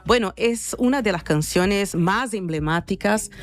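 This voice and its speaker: A woman's voice: mid-range voice, measured pace. A woman hosting a conversational interview and putting questions to guests. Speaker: show host.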